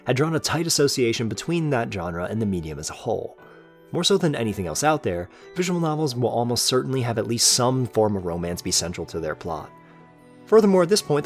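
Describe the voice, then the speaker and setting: A man reading scripted, analytical video essay narration in an earnest, articulate way with formal wording. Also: lowish voice. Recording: music behind the voice. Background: background music.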